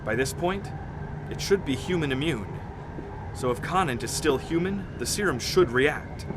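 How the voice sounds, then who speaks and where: An actor playing a level-headed scientist in an audio drama, explaining things in a measured, serious manner. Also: male voice, animated, natural voice. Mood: somber, measured. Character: level-headed, grave male polar expedition scientist. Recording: clear noisy rumble behind the voice.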